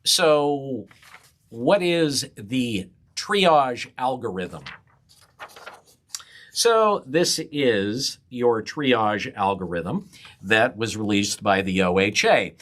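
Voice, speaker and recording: A man's voice: medium pitch, animated, drawn-out words, fairly bright tone. A man who hosts a morning radio show, reading a scripted news commentary in a wry, skeptical way.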